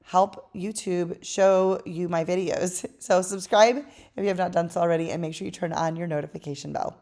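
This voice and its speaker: Tired hoarse lady, kinda low voice, chatty diy voice-over. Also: moderate pace. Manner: chatty and relaxed, with fillers. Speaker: craft channel host. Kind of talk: voice-over craft tutorial.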